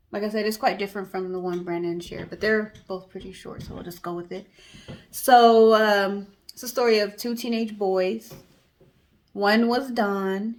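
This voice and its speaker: A woman's voice: mid-range voice, darkish tone. Woman chatting through a casual story. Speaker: co-host telling stories in a guessing-game show.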